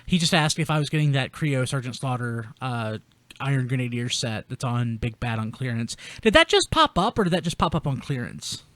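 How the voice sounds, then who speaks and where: A man's voice: mid-range voice, sing-song, brisk, fairly bright tone, no room sound. A man hosting a casual chat podcast, rambling and correcting himself as he goes. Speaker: podcast host. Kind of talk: casual chat about toy collecting news.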